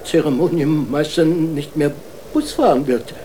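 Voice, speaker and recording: An actor playing a deferential, worried court marshal in a children's radio play, halting, stammering and politely cautious. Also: medium pitch, mellow, a little hiss or hum, lightly acted, rough recording.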